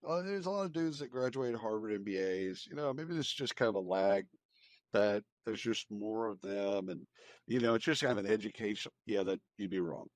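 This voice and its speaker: A man's voice: fairly low pitch, animated, brisk, no echo. An American man co-hosting a podcast, discussing news in a casual, opinionated, informal way and repeating phrases for emphasis. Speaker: podcast co-host.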